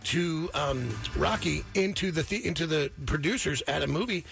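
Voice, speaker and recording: A man with a fairly high, gravelly voice hosts a radio morning show. He talks casually and emphatically, with plenty of slang and repeated words, over a music bed. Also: normal speed, a bit bright. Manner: informal, slangy, emphatic and repetitive. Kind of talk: casual, animated radio chat.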